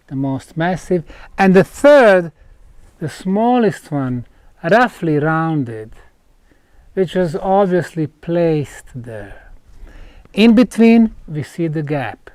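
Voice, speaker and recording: A man with a fairly high voice gives an on-site explanation to camera. He speaks slowly and deliberately, leaning on key words and breaking his thoughts into short phrases. The sound is dry, with no echo. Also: darkish tone. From Bosnia. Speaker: researcher presenting a documentary. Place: outdoors at a rock site.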